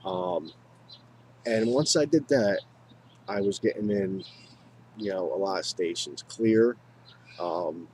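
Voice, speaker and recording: A man with an American accent and a fairly low voice speaks off the cuff, like a hobbyist chatting to viewers. His delivery halts and rambles, circling back over his own words. Clear background noise sits behind him. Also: darkish tone. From United States. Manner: halting, rambling, repeats himself. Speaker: hobbyist video maker. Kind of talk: casual unscripted talk to viewers.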